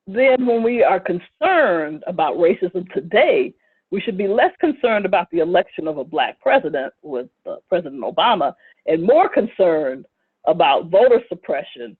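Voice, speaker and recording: A woman giving a recorded lecture with slides, speaking in a measured way with frequent fillers and brief asides. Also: on the low side, husky, no echo. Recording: tinny phone-like lo-fi recording.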